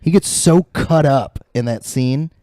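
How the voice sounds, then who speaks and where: A man's voice: medium pitch, measured pace, dark tone, no echo. A man chatting casually on a podcast panel, blunt and opinionated, swearing freely. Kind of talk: casual chat.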